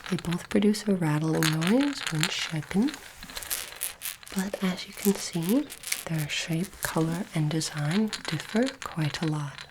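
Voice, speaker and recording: A woman doing a soft-spoken, whispered ASMR roleplay as a polite, formal alien interviewer, with deliberate phrasing. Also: on the low side, lively intonation, background noise.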